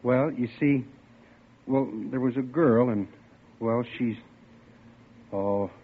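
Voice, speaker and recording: A man's voice: mid-range voice, takes it slowly, slightly rough. An actor playing a shy, lovesick young man in a 1940s radio play, stammering and flustered. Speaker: dramatic lead, radio play star. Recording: tinny old radio broadcast with music.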